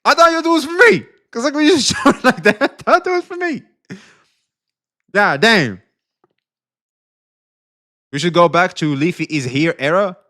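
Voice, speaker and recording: A male streamer giving animated, profane commentary, speaking straight to his chat. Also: high-pitched, moderate pace, pauses often.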